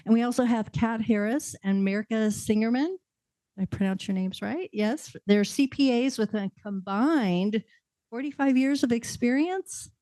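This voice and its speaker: Chatty woman moderator, mellow voice. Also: on the high side, normal speed, no echo. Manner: chatty, self-correcting. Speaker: event host, moderator. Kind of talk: moderating a panel.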